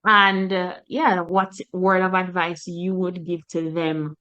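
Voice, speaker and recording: A woman who immigrated to Canada, hosting an interview show, affirming her guest with steady "mm-hmm"s and stringing ideas into rolling lists. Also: on the low side, slow pace, clean tone, muffled recording.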